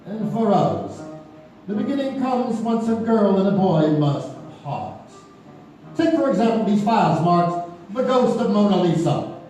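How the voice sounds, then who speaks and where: A man playing a mysterious anthology host, narrating theatrically and deliberately with dramatic pauses. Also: sits high, big pitch swings, mellow. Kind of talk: dramatic scripted radio narration. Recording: echoey theater from far off, faint music.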